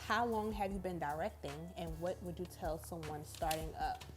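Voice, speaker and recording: A young woman from Brooklyn, New York, co-hosting a casual Q&A, asking questions and answering in a lively, playful way. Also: on the low side, normal speed. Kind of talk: casual Q&A chat.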